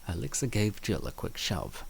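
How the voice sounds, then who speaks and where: Male comic-dub narrator, lowish pitch, animated delivery, some noise behind the voice. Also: normal speed, vocal fry. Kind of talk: scripted story narration.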